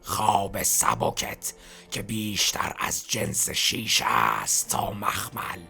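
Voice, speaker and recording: A cruel male voice that lives inside the detective's head, played by a man in a fan game dub. It whispers low and gravelly, with a sharp, forceful edge, and every line sneers and needles. Faint music runs underneath. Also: big pitch swings, exaggerated voice. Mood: scornful. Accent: Persian. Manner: scornful, needling, mocking.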